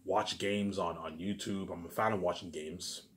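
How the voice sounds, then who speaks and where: A man's voice: low voice, fairly even intonation, moderate pace, fairly bright tone. A man giving a rambling, informal monologue, repeating himself emphatically, swearing and restarting his phrases. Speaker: vlogger.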